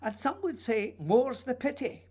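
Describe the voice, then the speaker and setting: A man's voice: very high voice, big pitch swings, unhurried, warm tone, slightly rough, exaggerated voice. A man playing a whimsical, childlike playwright in a radio play, musing and teasing in a theatrical way. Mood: musing, wistful. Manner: theatrical, musing, playfully urging.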